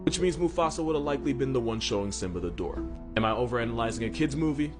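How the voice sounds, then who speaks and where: A man's voice: mid-range voice, expressive, quick pace. A man delivering comedic scripted narration about animals, with a wry, slangy, sarcastic manner. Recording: music behind the voice. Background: background music.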